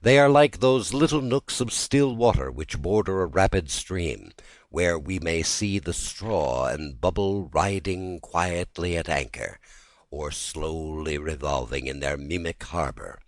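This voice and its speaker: Male narrator, very low pitch, dry close sound, measured literary storytelling for a classic tale. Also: dry recording.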